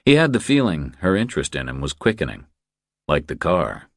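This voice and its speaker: A man's voice: low voice, very animated, a little fast, no echo, studio quality. A man reading a thriller audiobook as its narrator, in a scripted storytelling style.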